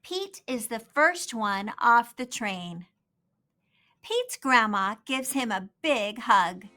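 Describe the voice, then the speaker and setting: A woman reading a children's storybook aloud and asking young listeners questions, in an expressive storytelling manner. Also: on the high side. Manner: slow, expressive delivery aimed at young children. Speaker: children's storybook reader and host. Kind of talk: scripted read-aloud storytelling with quiz questions for kids.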